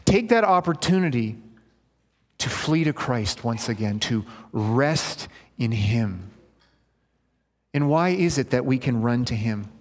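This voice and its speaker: A man's voice: medium pitch, lively intonation, takes it slowly, long pauses. A male pastor preaching a sermon, reading scripture and then explaining it in an earnest, conversational way. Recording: long hall reverb.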